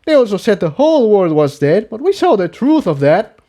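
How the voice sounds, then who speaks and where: A man's voice: high-pitched, very animated, a little fast, dark tone, voice pushed up. A man voicing an anguished, angry young male unicorn pony in a fantasy story, agitated and argumentative. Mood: angry, bitter.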